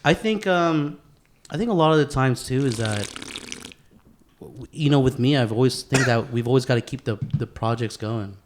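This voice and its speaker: A man's voice: medium pitch, normal speed, a little hiss or hum. A man hosting a casual podcast chat in a garage, speaking informally with slang and swearing. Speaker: podcast host.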